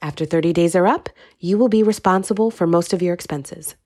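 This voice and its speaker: A woman's voice: fairly low pitch, a little fast, darkish tone, dry recording, voice pushed up, lightly acted. A woman reading an audiobook, voicing a wealthy, middle-aged mother who speaks in a cool, steely, dry way. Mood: stern.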